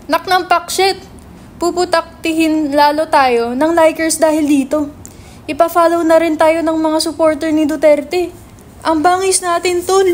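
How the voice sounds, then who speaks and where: Babaeng gumaganap na brusko, palamurang binata, mataas ang boses. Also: female voice, very high-pitched, steady tone, a little slow, slight background noise. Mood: excited. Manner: swearing, slangy, insistent. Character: brash, foul-mouthed young male writer running a website. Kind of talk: dramatic reading of a stage script.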